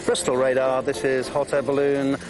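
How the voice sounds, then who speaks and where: A man's voice: medium pitch, monotone, moderate pace, over music, low-quality recording. A man working as a balloon pilot, giving radio calls and informal explanations.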